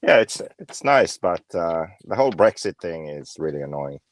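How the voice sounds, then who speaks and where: A man's voice: low voice, sing-song, normal speed, no echo. A man from Finland co-hosting a casual podcast, laid-back and a bit hesitant, often chuckling. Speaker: podcast co-host. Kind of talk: casual chat.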